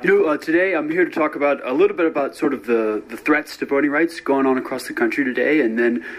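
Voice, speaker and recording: A man's voice: medium pitch, fast, rough recording. A man from Washington, D.C., an advocate answering questions informally in a news interview. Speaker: legal advocate being interviewed.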